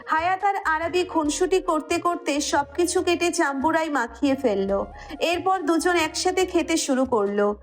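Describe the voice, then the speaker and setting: A woman's voice: extremely high pitch, normal speed. A woman with a Bangladeshi accent narrating a scripted romantic audio story.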